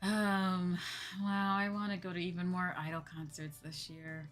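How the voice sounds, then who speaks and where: A woman's voice: fairly low pitch, little pitch movement, unhurried. A woman hosting a livestream as a VTuber, talking casually in a chatty, giggly way. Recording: background music behind voice. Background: music.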